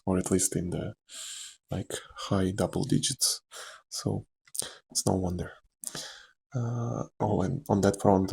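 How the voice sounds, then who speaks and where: A young man with a Slavic accent talks softly and candidly in a meandering ASMR chat, full of fillers and small laughs. His voice sits fairly low, with a heavy gravelly fry running through it and a husky, breathy edge. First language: Czech or another Slavic language. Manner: soft-spoken, rambling and candid, with frequent fillers and quiet laughs. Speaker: actor.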